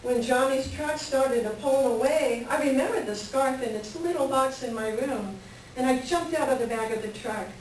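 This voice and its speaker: A woman's voice: sits high, moderate pace, close to an everyday voice. A woman playing a wistful, melancholy married woman in a staged play reading, with a reflective storytelling manner. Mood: wistful reminiscence. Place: library reading before a microphone at some distance. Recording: very echoey room, distant mic, faint noise.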